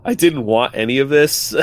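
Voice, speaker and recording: A man's voice: mid-range voice, measured pace. A man playing a tabletop game in casual, wry banter. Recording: faint background noise, room ambience.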